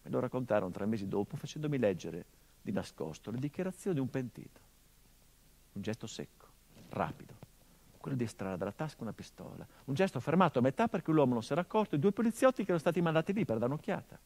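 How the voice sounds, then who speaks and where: An actor playing a hardened, grieving middle-aged son, delivering a measured theatrical monologue that drops to hushed tones. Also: male voice, mid-range voice, very animated, creaky. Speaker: stage actor.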